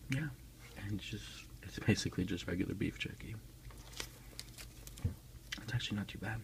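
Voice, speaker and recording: A man speaking as an ASMR host, soft-spoken and unhurried, in a casual style. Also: lowish voice, gravelly, background noise. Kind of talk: whispered ASMR unboxing, reading aloud and chatting.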